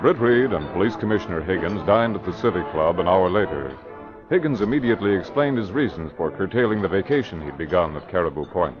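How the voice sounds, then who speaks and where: A man's voice: deep voice, lively intonation, fast. A man narrating an old radio drama in a dramatic, announcer-like manner. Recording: dull lo-fi old radio recording with music.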